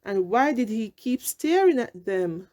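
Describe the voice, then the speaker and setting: A woman narrating a children's story aloud in an expressive, deliberate way. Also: a little high. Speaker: storybook reader.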